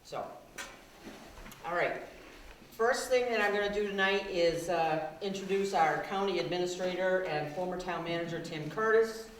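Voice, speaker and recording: A low-pitched woman speaks loudly and projects with confidence as she leads a town workshop. She is recorded from well off the mic, in a very echoey meeting room with faint background noise. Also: moderate pace, distant mic. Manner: loud, projecting, self-assured. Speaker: town manager leading a workshop. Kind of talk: public meeting talk.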